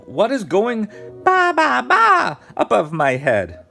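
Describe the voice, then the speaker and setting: A man voicing startled picture-book neighbours, with exaggerated, drawn-out, sing-song exclamations. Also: high-pitched, huge pitch swings, vocal fry, bright, forceful tone, faint music behind, pitched above the performer's own voice, heavily acted. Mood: startled, exclaiming.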